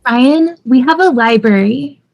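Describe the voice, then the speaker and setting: Upbeat young wife, read by a woman, high register, casual enthusiasm, sitcom script. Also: lively intonation, unhurried, gravelly, a little mellow, heavily acted. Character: upbeat young wife excited about inherited house.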